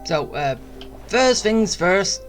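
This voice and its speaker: A woman streams a game with casual, grumbling commentary. The pace is slow and the pitch middling, with a sarcastic edge. Game music sits in the background. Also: sing-song.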